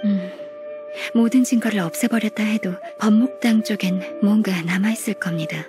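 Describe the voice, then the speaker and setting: A woman plays a diligent young female official from a video game. She is gentle, composed and formally polite at an average pitch, with faint music behind her. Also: moderate pace, lightly acted. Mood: calm, considerate. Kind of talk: video game character acting.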